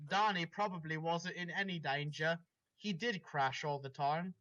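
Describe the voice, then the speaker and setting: A man with a bright, fairly high voice reads fan fiction out loud in an informal way. He slips between the text and chatty asides to whoever is listening. The recording is dull and lo-fi. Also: normal speed. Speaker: story reader. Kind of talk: reading fan fiction aloud, with casual asides.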